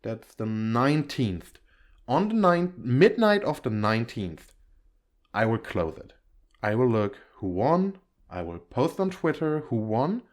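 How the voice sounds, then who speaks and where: A man's voice: medium pitch, big pitch swings, unhurried. A man giving a casual outro to viewers as an audio creator, rambling, giggly and often correcting himself.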